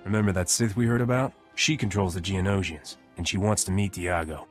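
Man acting a cocky, lowish wisecracking smuggler. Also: brisk, faint music behind, lightly acted. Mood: wry. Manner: wry, flippant. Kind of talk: video game acting.

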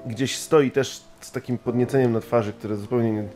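A man player in a tabletop game describing his character, pausing now and then to think. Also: mid-range voice, steady tone, mellow, faint music behind. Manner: thoughtful, with hesitant fillers.

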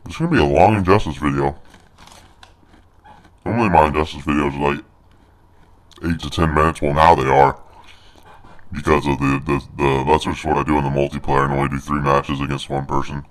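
A man's voice: a bass voice, fairly even intonation, a little fast, pauses often. A man voicing a snarky, crude big monster brute while doing casual, jokey video game commentary. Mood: snarky, boastful.

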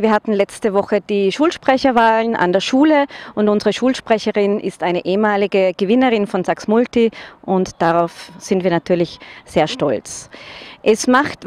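Middle-aged Austrian woman teacher, higher voice, measured and earnest, handheld mic outdoors. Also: a little mellow. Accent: Austrian German. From Vorarlberg, Austria. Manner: earnest, measured, with occasional hesitations. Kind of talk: personal testimonial to camera. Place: outdoors in front of a school building. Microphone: handheld microphone.

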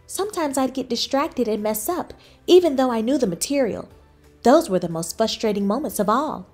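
A woman voicing an earnest, anxious cookie schoolchild in a children's storybook, with expressive, dramatic emphasis. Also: a little high, normal speed, faint music behind. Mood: frustrated, downcast. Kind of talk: children's storybook read-aloud.

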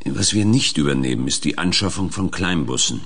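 A brusque, scheming political operator for a radio play. His voice is extremely low and husky, and it moves up and down freely as he gives curt orders in a hurry. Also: expressive, brisk, a bit bright, full character voice. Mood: brisk, impatient. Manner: curt, commanding. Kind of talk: German radio play acting.

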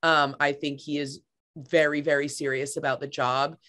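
A young woman on a video call from her living room, a podcast guest talking sports in a lively, playful, teasing way. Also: low-pitched, normal speed. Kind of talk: casual sports chat.

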